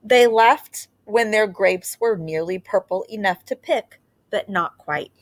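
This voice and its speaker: A woman's voice: medium pitch, measured pace. Woman reading a picture book aloud. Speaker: teacher reading aloud to children.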